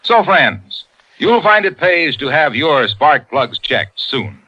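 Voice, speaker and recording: A man's voice: fairly high pitch, sing-song, slow, heavily acted. Peppy old radio ad man, cowboy folksy, voice jumps all over, dull old broadcast sound. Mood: upbeat selling. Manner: bouncy, exclamatory salesman delivery. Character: excitable folksy cowboy pitchman named Harlow. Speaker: commercial pitchman. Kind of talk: 1950s radio advertising, acted.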